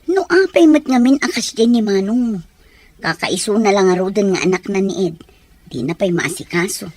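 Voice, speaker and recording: A woman's voice: mid-range voice, lively intonation, normal speed, darkish tone, dry recording, close to an everyday voice. A woman playing a hospitable, concerned aunt in an Ilocano audio drama.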